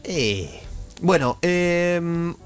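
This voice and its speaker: A man with a Rioplatense Argentine accent hosts a radio segment in a wry, teasing way. His pitch swings widely as he goes through the list of the day. Faint music runs under the studio talk. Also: very animated, very slow, clean tone. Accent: Rioplatense Argentine Spanish. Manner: leads the segment, teasing and wry, reading out the day's observances. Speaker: radio host. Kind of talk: casual radio hosting.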